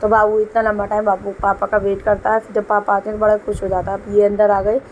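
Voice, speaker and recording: A woman's voice: mid-range voice, monotone, fast, a little mellow, dull audio, a little hiss or hum. A young woman, a homemaker, narrating her kitchen routine in a chatty, explaining way, with a sore throat and a cough. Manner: chatty, explains as she works. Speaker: homemaker vlogger. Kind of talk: casual voice-over narration. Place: home kitchen.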